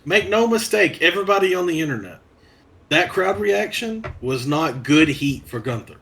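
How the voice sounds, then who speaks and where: Man with a slightly high, measured voice. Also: lively intonation, vocal fry, pushed and bright, slight background noise. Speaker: podcast co-host. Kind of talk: casual wrestling podcast chat.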